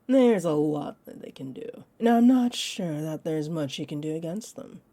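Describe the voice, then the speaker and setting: A woman's voice: low voice, expressive, mellow, dry recording. An actress playing a teasing, possessive female vampire in an audio roleplay, condescending and confident, speaking straight to the listener. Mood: skeptical, probing. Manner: smug, taunting, unhurried.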